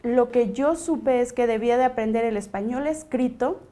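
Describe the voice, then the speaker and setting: A woman giving personal testimony in a documentary segment, deliberate and firm, in short phrases. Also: a little high, warm tone.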